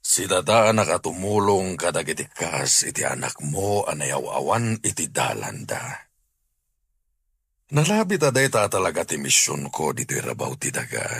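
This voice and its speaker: A man playing a benevolent, pious older wealthy patron in an Ilocano radio drama, speaking solemnly with a raspy voice. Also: rumbling low, sing-song, a little slow, a bit bright, no room sound, studio quality, exaggerated voice. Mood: solemn, kindly. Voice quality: gravelly, raspy.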